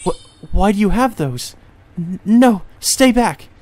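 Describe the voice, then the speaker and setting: Man acting timid young girl, raised pitch, hesitant, stammering, polite, visual novel. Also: animated, slow, a little mellow, no echo. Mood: frightened.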